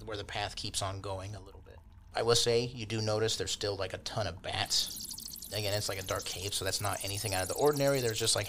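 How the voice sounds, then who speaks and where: A low-pitched man narrates a tabletop fantasy game as game master. He talks informally and makes up descriptions as he goes, with faint noise and watery ambience behind him. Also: fairly bright tone. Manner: informal, loosely improvised descriptions.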